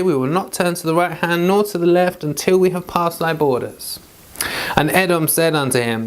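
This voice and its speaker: A man with a clear voice, reading scripture aloud with informal asides. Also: fairly high pitch. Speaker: Bible reader and commentator.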